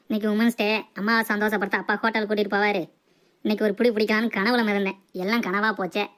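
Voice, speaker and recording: A woman's voice: medium pitch, little pitch movement, fast talker, a bit bright, natural voice. A woman voicing a cheeky, food-loving young son in a comic cartoon.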